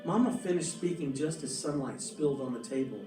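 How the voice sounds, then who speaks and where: A man reading a picture book aloud in a measured, expressive storytelling manner, with deliberate pauses. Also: a little high. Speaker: storybook reader. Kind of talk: scripted picture-book narration read aloud. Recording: roomy echo, distant mic, music behind. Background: music.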